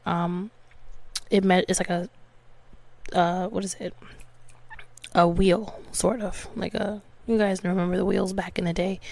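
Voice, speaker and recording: A woman's voice: lowish voice, darkish tone, no echo. Congested woman rambling on a podcast. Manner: rambling, lots of ums and likes. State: slightly congested, small cough. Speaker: podcast host. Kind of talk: casual solo podcast talk.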